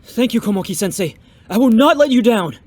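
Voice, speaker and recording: A man's voice: high voice, lively intonation, a little fast, darkish tone. A man playing an earnest, determined young martial arts student in an audio drama, with an emphatic way of speaking.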